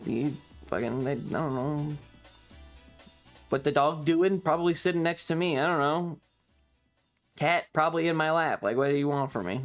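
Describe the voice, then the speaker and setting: Halting man rambling casually over music. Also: medium pitch. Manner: halting, wry, sprinkled with swearing; frequent throat clearing. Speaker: streamer. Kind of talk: casual rambling storytelling on a stream.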